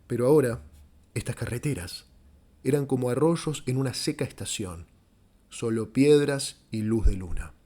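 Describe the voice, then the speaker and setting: A male storyteller reads literary fiction for an audiobook. His voice sits at a middle pitch, and the delivery is scripted and dramatic. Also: moderate pace, dark tone. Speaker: audiobook narrator.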